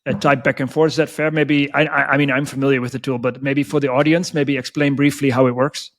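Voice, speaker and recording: A man's voice: medium pitch, little pitch movement, fast talker. A man hosting a podcast, asking casual questions and summing up his guest's points, often checking whether he has it right. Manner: thinks aloud, checks with "is that fair?". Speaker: podcast host.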